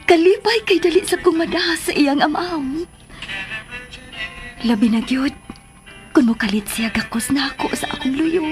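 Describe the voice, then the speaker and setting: A woman's voice: high voice, normal speed, long pauses, lightly acted. A woman with a Cebuano Filipino accent playing a sentimental married woman recalling an old love in a radio drama. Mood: wistful, tender. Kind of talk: Philippine radio drama monologue and dialogue. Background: music.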